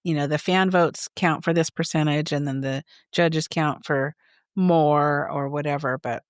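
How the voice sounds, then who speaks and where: A woman in her sixties co-hosting a podcast, chatting casually and bluntly with quick asides. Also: deep voice, fairly even intonation, no room sound, studio quality. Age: 60s. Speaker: podcast co-host. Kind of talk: casual chat.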